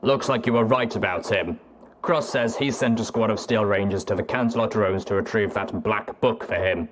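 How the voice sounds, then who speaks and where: A stoic, war-weary soldier stallion from an audio story, played by a man. He talks low and measured, subdued and gruff, over clearly noisy audio with clicks. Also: close to an everyday voice. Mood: businesslike, firm.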